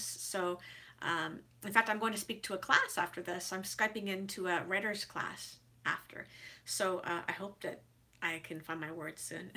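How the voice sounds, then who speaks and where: A woman's voice: mid-range voice, sharp tone. A woman in her forties with a head cold, chatting casually and congested, with many fillers and restarts, giving writing advice in a vlog. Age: 40s. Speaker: author vlogger.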